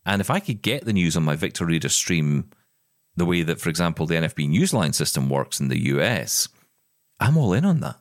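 Low-voiced man on an emphatic rant. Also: sing-song, a little fast, no echo, clean studio sound. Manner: impassioned, ranting, emphatic. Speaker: podcast co-host. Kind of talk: casual chat.

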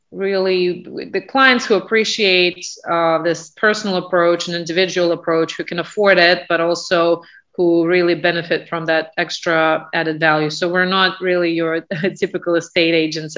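A woman in her forties from Russia with a Russian accent, answering interview questions in English with many pauses and fillers. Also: lowish voice, fairly bright tone, lo-fi sound. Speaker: guest, business owner.

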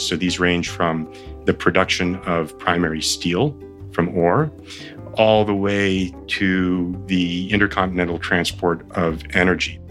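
A man in his fifties from the Boston area, whose first language is Armenian, speaks in a low, deep voice. He talks thoughtfully as an interview guest, pausing with fillers and restarting his sentences. Faint music plays underneath. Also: fairly bright tone. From Boston area, United States. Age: 50s. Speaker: guest, company founder. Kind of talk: relaxed interview conversation.

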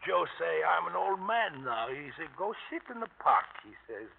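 An actor playing an aggrieved old Italian immigrant father in a 1950s radio drama, raspy and emphatic in broken English. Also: a little high, sing-song, normal speed, slight background noise. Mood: bitter, accusing. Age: older. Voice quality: raspy, gravelly. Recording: tinny, band-limited old radio broadcast.

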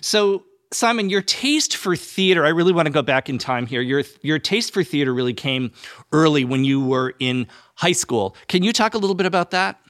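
A man hosting an interview, putting prepared questions in a measured, slightly formal conversational way. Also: a little high, expressive, a bit bright, no echo, studio quality. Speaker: interviewer, host.

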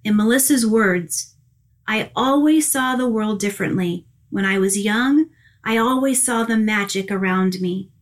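A woman hosts a spiritual podcast, gushing and chatty with frequent laughs. She sounds tired. Her pitch sits in a middle range. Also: measured pace. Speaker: podcast host and angel communicator. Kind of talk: casual spiritual podcast chat.

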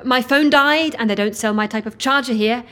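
Audio drama role for a female actor: courteous stranded woman, slightly high voice, natural and apologetic. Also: moderate pace, fairly bright tone, lightly acted. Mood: polite. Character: polite, resourceful woman asking strangers for help.

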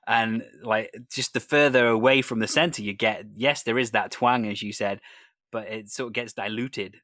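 Guy from north wales hosting a podcast, kinda low voice, super dry sound, thinks out loud, laughs a lot. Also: fairly even intonation, normal speed, no room sound. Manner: thoughtful, hesitant, laughs easily. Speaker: podcast host. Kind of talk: conversational interview.

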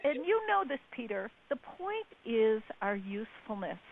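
A woman author speaking as a guest on a talk show, measured, drawing out words for emphasis. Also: a little high, very animated. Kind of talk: casual radio chat. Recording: tinny phone line with faint hiss.

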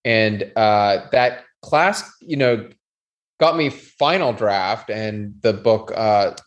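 A man in his thirties from Canada, a doctor and TV writer, talking as a podcast guest in a measured way, full of qualifiers. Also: fairly low pitch, lo-fi sound. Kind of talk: casual interview chat.

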